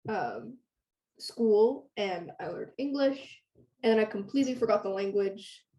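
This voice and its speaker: A woman's voice: mid-range voice, lively intonation, a little slow, dark tone, muffled recording. A teenage girl from Canada who grew up speaking Hebrew, chatting casually as an interview guest with many "like"s and "um"s. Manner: chatty, lots of "like" and "um". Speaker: guest singer-songwriter.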